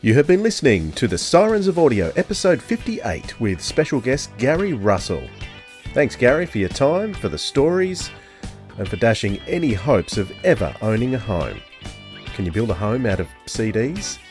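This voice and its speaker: A man's voice: mid-range voice, big pitch swings, moderate pace, darkish tone. A man reading a scripted podcast outro as the show's announcer. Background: guitar music.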